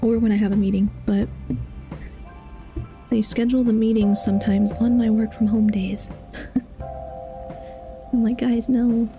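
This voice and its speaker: Mellow-voiced woman chatting while gaming. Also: a little high, level tone, measured pace, long pauses, soft background music. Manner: chatty and confiding, laughs often. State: losing her voice. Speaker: streamer. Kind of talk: casual chat while playing a game.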